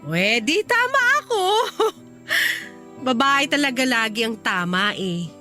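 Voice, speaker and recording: A woman playing an expressive young wife and mother in a radio drama, emphatic and full of pointed questions. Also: a little high, slow pace, full character voice. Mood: playful, teasing. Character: exasperated young wife and mother, teasing then reproachful. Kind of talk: radio drama acting in dialogue. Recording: music under the voice. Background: background music.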